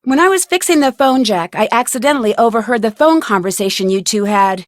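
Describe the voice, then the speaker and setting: A woman's voice: fairly high pitch, animated, a little fast, dry recording, studio quality, close to an everyday voice. A woman voicing a plucky, curious young female detective in a video game, speaking earnestly and conversationally as if thinking aloud. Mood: accusing. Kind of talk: scripted video game voice acting.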